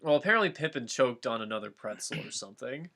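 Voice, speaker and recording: A man's voice: medium pitch, animated, fast, sharp tone. A man doing a comic dramatic reading of fan fiction, amused and breaking off to chat about the text. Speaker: reader and co-host.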